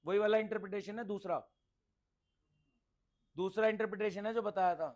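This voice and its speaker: A man's voice: high-pitched, steady tone, normal speed, a little mellow. A man teaching a class interactively, instructive and repetitive, often checking understanding with questions and mixing in English terms. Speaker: accountancy teacher.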